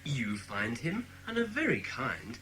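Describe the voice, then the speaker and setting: A man playing a sceptical, patient but condescending British father in a children's audiobook drama, speaking in a measured way. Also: medium pitch, wildly swooping pitch, sharp tone, soft background music, full character voice, rough recording. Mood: dismissive.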